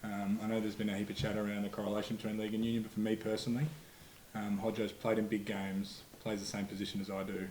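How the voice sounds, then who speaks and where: Young Aussie rugby player, fast flat delivery, casual press-room answers, echoey room. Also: male voice, fairly low pitch, slight background noise. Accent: Australian. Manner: laid-back, slangy, matter-of-fact.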